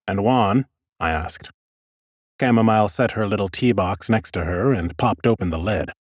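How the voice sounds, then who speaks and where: A man's voice: fairly low pitch, moderate pace, no room sound. A man narrating scripted first-person fantasy audiobook prose with wry understatement, close on a studio mic. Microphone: close studio microphone.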